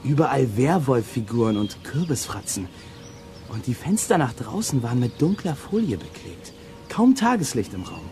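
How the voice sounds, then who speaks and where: A man's voice: mid-range voice, sing-song, normal speed, over music, close to an everyday voice. An actor playing a defiant, hot-tempered boy in a children's audio drama, cheeky and emphatic. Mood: excited recounting.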